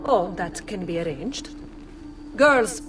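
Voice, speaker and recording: Slow, coy madam. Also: female voice, medium pitch, animated, over music, poor audio. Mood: soothing, coy. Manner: soothing, coy. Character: soothing, coy Venetian nun and madam.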